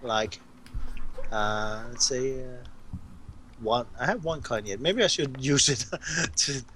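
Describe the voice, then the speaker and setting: A man from Denmark with a Danish accent, a game designer chatting casually and haltingly while playing a board game. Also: mid-range voice, sharp tone, slight background noise.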